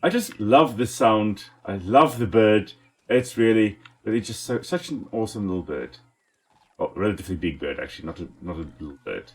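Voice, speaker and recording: Lively South African man narrating gameplay. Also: fairly low pitch, normal speed. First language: Afrikaans. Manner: enthusiastic, chatty, talks to the animals on screen. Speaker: let's play host and ecologist. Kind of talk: casual gameplay commentary.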